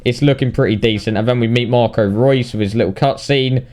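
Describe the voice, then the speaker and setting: A British man giving casual, sweary, mock-indignant commentary on video game highlights. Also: brisk, darkish tone. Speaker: gaming video narrator.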